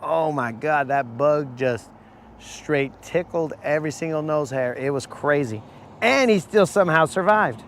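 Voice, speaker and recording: A man hosting a live fishing stream from a boat, talking casually with viewers in a hoarse voice. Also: fairly high pitch, a little slow, a little hiss or hum. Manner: casual, slangy, calls viewers bro. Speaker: fishing channel host. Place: outdoors on a boat on a lake.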